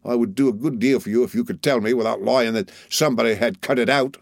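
A man's voice: mid-range voice, quick pace, vocal fry, no echo, clean studio sound. Man putting on a sullen, spiteful villain clerk. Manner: muttering, growling. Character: sullen, cowardly, spiteful male villain clerk, snarling at accusers. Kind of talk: audiobook character voice.

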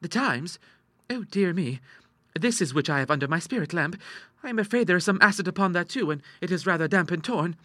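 Audiobook role: polite, contented young daughter played by a man, raised pitch, dry close sound. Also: lively intonation, a little fast, fairly bright tone, dry recording. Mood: contented, eager. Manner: polite, airy, earnest, hesitating. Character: eager, self-assured young daughter, calmly contented amid mischief. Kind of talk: audiobook character voice.

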